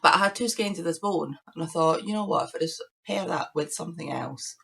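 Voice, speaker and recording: A woman hosting her crafting podcast, chatting casually to camera with a croaky voice while she recovers from illness. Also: low-pitched, brisk, sharp tone. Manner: chatty, rambling, shows knitting to camera. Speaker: podcast host.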